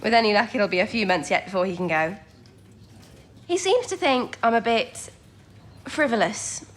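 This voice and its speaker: A young woman playing a bright, affectionate twenty-year-old codebreaker in a period wartime radio drama. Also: mid-range voice, expressive, moderate pace, close to an everyday voice. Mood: playful, pleading. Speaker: actor in an audio drama.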